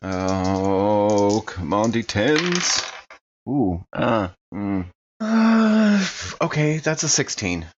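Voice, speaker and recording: A man's voice: lowish voice, big pitch swings, extremely slow, lo-fi sound, slight background noise. A man chatting casually as a player in a tabletop roleplaying game.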